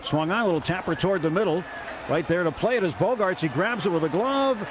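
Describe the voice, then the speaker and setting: A man calling a baseball game as the radio play-by-play announcer from a ballpark booth, with brisk, measured play calling. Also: high-pitched, animated. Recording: muffled radio broadcast with crowd noise.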